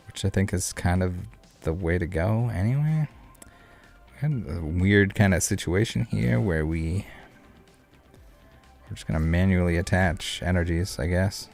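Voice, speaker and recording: A male streamer with a low voice talks casually through a card game. He rambles and jokes with his viewers in a laid-back way. Faint music plays behind him. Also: darkish tone. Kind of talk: casual live game commentary and chat with viewers. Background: background music.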